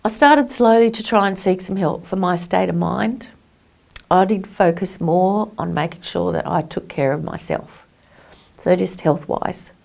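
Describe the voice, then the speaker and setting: A woman's voice: fairly low pitch, unhurried, gravelly, a little mellow. A woman giving reflective personal testimony as a carer, speaking earnestly and with emphasis. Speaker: carer sharing personal experience.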